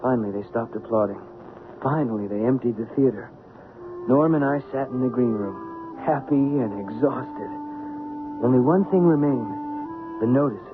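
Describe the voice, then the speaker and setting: A man's voice: medium pitch, moderate pace, long pauses, close to an everyday voice. An actor playing an earnest Broadway producer's assistant, telling his story conversationally in an old-time radio drama. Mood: reflective storytelling. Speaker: actor and narrator. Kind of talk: old-time radio drama acting, first-person narration. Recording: narrow-band old radio with loud music.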